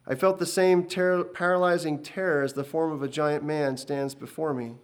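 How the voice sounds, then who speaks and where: A man's voice: on the high side, fairly even intonation, moderate pace, clear, resonant tone, natural voice. A man voicing a shaken old prophet. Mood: earnest. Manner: hushed, earnest recounting. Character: old male prophet, shaken, earnest and pious.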